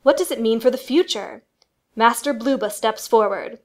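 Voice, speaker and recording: A woman's voice: fairly high pitch, moderate pace. A woman reading scripted, dramatic narration for a family radio play.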